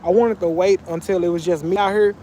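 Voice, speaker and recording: Young man from Texas, high voice, slangy livestream chat, phone mic, faint outdoor background noise. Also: steady tone, fast, dark tone. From Texas, USA. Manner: loose, slangy, chatty. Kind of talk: casual live chat reading comments. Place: outdoors by a pool.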